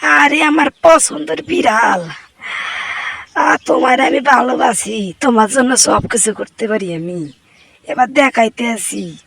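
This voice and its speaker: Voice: medium pitch, measured pace, gravelly, a bit bright, breathy edge, no room sound, heavily acted. A performer voicing a boastful, scheming, lovesick cartoon cat in an exclamatory comic dub, with a Barisal accent from Bangladesh.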